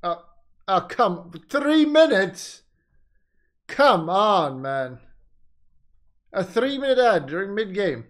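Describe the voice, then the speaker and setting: A man's voice: sits high, sing-song, takes it slowly, pauses often. A man chatting casually on a livestream as he reacts to a broadcast, blunt and exasperated, swearing often. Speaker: live streamer reacting to a tournament broadcast. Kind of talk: casual livestream chat and commentary.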